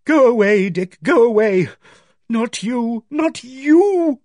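A hearty, emotional woman from a detective novel, acted by a male narrator. The voice is lifted high and driven hard, with emphatic, exclamatory phrasing and big swings of pitch. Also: sing-song, moderate pace, a little mellow, slightly rough, no room sound, clean studio sound. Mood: anguished. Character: hearty, booming, defiant woman who breaks into anguish. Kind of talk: audiobook character voice.

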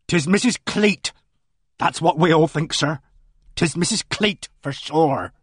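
A man's voice: on the high side, slow pace, fairly bright tone, slightly rough, dry recording, studio quality, heavily acted. A man voicing an earnest, indignant middle-aged village charwoman who rambles breathlessly. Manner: breathless, rambling country speech.

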